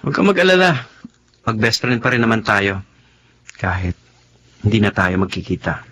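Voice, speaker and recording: Isang malumanay na binata, may bahagyang ingay. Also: male voice, fairly low pitch, very animated, normal speed, a bit bright. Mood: reassuring, wistful. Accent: Filipino. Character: gentle, self-sacrificing young man, devoted friend and son. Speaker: radio drama actor. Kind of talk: Tagalog radio drama acting. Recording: faint background noise.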